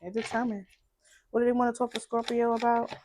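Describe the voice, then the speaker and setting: Soft-spoken woman reading tarot. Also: flat delivery, a little fast, slight background noise. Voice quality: low voice. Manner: soft-spoken, musing, repeats phrases to herself. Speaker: tarot card reader. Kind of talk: casual tarot reading vlog.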